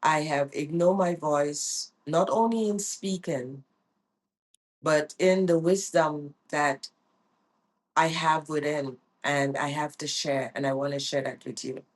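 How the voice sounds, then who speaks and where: A middle-aged woman vlogging in an earnest, reflective way, often repeating her phrases for emphasis. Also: low-pitched. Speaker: vlogger and life coach. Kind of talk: reflective personal vlog.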